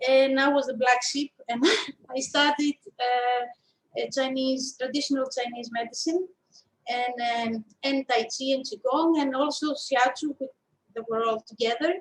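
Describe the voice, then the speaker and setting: A woman's voice: high-pitched, fairly even intonation, moderate pace, rough recording. A woman in her fifties with a Greek accent, telling her story as an interview guest in a thoughtful, hesitant way. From Greece. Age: 50s. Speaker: interview guest, shiatsu practitioner and teacher.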